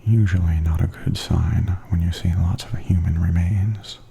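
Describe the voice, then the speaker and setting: Very dark, hushed male ASMR voice. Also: low-pitched, fairly even intonation, moderate pace, a little hiss or hum. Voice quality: hushed, breathy half-whisper. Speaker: ASMR host and tour guide. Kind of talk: softly spoken ASMR commentary. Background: theme park haunted attraction ambience.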